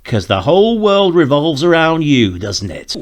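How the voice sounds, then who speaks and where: Dry, sarcastic man riffing, lively pitch. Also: measured pace. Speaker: comic riffing commentator. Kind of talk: wisecracking commentary over an audio drama.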